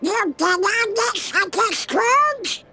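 Squawky, very high cartoon duck. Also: male voice, animated, very slow, bright, forceful tone, heavily acted. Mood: exasperated. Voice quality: garbled, quacking rasp. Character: irritable anthropomorphic cartoon duck, male, squawking.

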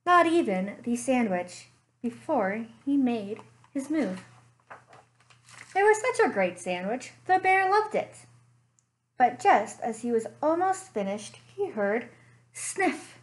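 A young woman, a librarian, reading a picture book aloud slowly and expressively at home into a webcam. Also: on the high side. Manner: slow, expressive storytime reading. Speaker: children's librarian. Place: living room at home.

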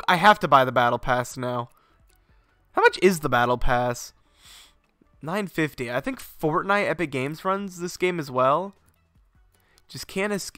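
A man's voice: on the high side, sharp tone, no echo. A young man streaming games from home, chatting casually with a stuffy, sinusy voice, rambling and swearing freely. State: congested, sinusy. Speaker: game streamer. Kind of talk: casual live-stream chat. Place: home garage setup.

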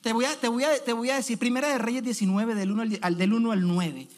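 A man in his thirties preaching from a church stage through a headset mic. He talks in an animated way, calling out questions and waiting for the congregation to answer. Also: extremely high pitch, fast. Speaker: evangelist preacher. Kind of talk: energetic sermon.

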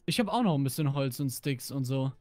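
A man's voice: mid-range voice, animated, fairly quick, darkish tone, no room sound, soft background music. A man chatting casually and in slang as a co-player in a let's-play. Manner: informal, slangy. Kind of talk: casual gaming chat.

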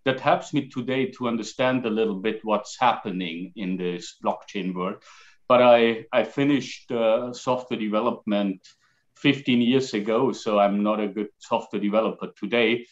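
A man in his forties from Austria, speaking English with an Austrian accent as a guest on a video call, halting with fillers. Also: lowish voice. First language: German. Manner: gestures while talking, halting with fillers. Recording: slightly dull video call audio.

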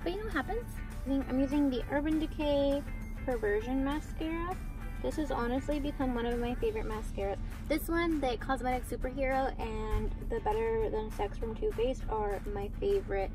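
A woman's voice: sits high, normal speed, music in the background, poor audio. Giggly young woman vlogging in a car. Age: young adult. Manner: playful, giggly, teasing. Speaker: beauty vlogger. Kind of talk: casual makeup chat. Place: inside a parked car. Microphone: handheld phone camera.

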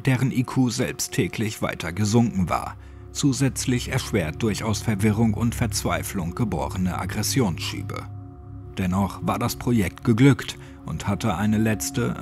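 A man reading a scripted horror story as an audiobook narrator. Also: on the low side.

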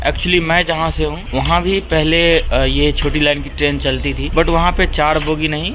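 A young man casually narrating a travel vlog, chatting as he films at a hill railway station and aboard a train. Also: mid-range voice. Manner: chatty, conversational, thinking aloud while filming. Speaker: travel vlogger. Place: outdoors at a quiet hill railway station, then inside a train. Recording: noisy outdoor and train recording.

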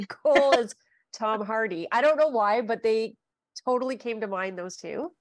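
A woman's voice: on the high side, moderate pace, no echo. Chatty woman host on a dry video call. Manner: chatty and gushing, with frequent fillers. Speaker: podcast host. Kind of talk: casual video-call interview. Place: home living room.

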